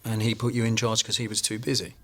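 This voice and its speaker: An actor playing a safety investigator, questioning in a measured, formal way in a naturalistic training film drama. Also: male voice, fairly low pitch, monotone, a bit bright. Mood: probing.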